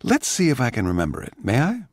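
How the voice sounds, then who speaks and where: A man's voice: mid-range voice, wildly swooping pitch, fast talker, darkish tone, no echo, studio quality, pitched above the performer's own voice. A man voicing a brash, immodest, gleeful young male composer in a radio drama. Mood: boastful, gleeful.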